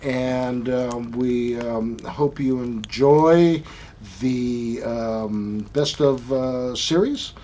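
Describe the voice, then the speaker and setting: Halting male podcast co-host. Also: mid-range voice, dark tone, a little hiss or hum. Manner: halting, many fillers and restarts. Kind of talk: casual chat.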